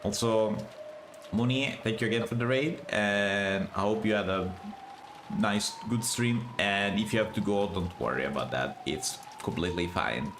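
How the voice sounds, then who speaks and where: A young man with a thick Italian accent, a non-native English speaker, chatting casually while streaming a game. He talks haltingly, stopping and restarting as he searches for words. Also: fairly low pitch, noisy background.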